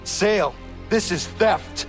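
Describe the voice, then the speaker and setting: An actor playing an earnest, righteous young half-Mohawk assassin in a video game, with formal, measured phrasing. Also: male voice, sits high, over music. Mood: indignant. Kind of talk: dramatic video game acting.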